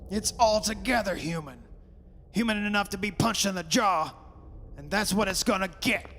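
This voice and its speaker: A man's voice: high voice, moderate pace, a bit bright, in a large hall, a little hiss or hum. An actor playing a bullheaded, skeptical father in an old-time radio horror drama, blustering and commanding. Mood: angry, dismissive.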